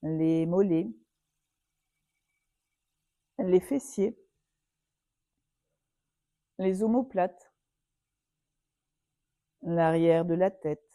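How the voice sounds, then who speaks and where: Woman doing guided meditation, super mellow voice, really slow with long pauses, soothing yoga teacher style. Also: fairly low pitch, dry recording.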